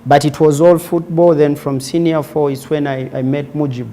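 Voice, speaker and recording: A man's voice: on the high side, steady tone, moderate pace, dark tone, a little hiss or hum. A man in his twenties with a Ugandan accent, telling his personal story conversationally as an interview guest. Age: 20s. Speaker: interview guest, former footballer.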